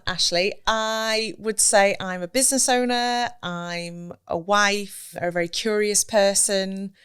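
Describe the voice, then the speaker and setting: A woman's voice: mid-range voice, fairly bright tone, smooth. A woman in her thirties, a business owner, talking in an earnest, reflective way about her career as a guest in a casual interview. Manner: earnest, reflective, with some restarts.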